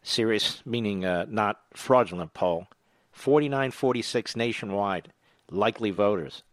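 A man hosting a talk radio show, emphatic and animated, introducing callers. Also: on the low side, a little slow, dry recording. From Philadelphia.

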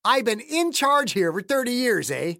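A narrator voicing a gruff, scowling older caretaker with a folksy, surly manner. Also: medium pitch, very animated, normal speed, pushed and bright, dry recording, clean studio sound, pitched above the performer's own voice. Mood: hostile. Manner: cantankerous, folksy, tacks "eh" onto sentences.

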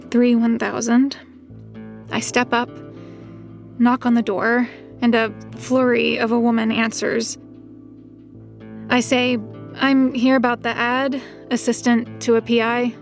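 An actress playing a reserved, watchful young woman, narrating in the first person in a measured, deadpan way for an audio drama. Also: fairly high pitch, creaky. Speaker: narrator, detective's new assistant.

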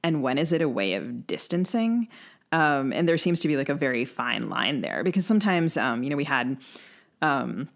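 A woman's voice: very deep voice, sing-song, moderate pace, gravelly. A woman speaks as co-host of a literary discussion podcast. She is analytical and questioning, thinking aloud in conversational sentences with frequent fillers.

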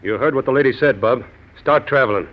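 An actor playing a protective, no-nonsense nightclub manager in 1940s radio style. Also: male voice, mid-range voice, a little fast, close to an everyday voice. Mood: stern. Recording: muffled old radio recording with music.

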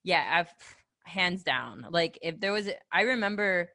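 A woman's voice: on the low side, lively intonation, measured pace, pushed and bright. A woman from the American Midwest interviewing in a casual, friendly chat, agreeable and given to reminiscing. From Ohio, American Midwest.

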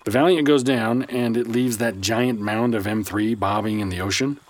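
A man's voice: on the low side, moderate pace, lightly acted. A man playing a principled, skeptical son in a science fiction audio drama, confrontational yet uneasy and worn down. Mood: uneasy, informative.

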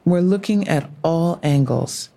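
A police officer in an audio drama. Her voice is deep for a woman and softly mellow. She stays composed, careful and formal, with quiet sympathy. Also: measured pace, vocal fry. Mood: businesslike. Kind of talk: full-cast audio drama acting.

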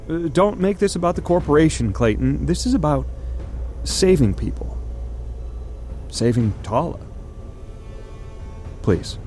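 A male space-program official in a sci-fi audio drama. He is nervy and evasive, pleading and persuading in halting, earnest phrases. Music runs behind him. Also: mid-range voice, warm tone.

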